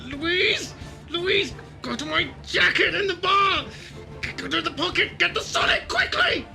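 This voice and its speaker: A man plays a blustering, flippant resort bar owner in an audio drama. The voice sits very high, swings widely in pitch and has a gravelly creak. Music plays behind the excitable delivery. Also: unhurried, full character voice. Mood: frantic, shouting.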